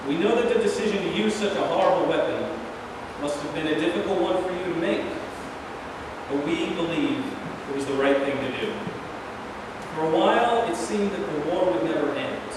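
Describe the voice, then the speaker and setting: A man's voice: a little high, lightly acted. A man reading the part of a resolute, plain-spoken president in a staged play, recorded from afar in an echoey room. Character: resolute, plain-spoken male American president defending his decision. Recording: very echoey hall, far mic, noisy.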